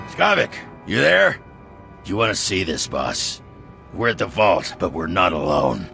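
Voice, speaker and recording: An actor playing a gruff, indignant armored henchman in a video game, gravelly and blustering. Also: low voice, bright, forceful tone, full character voice. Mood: alarmed. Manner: blustering, barking.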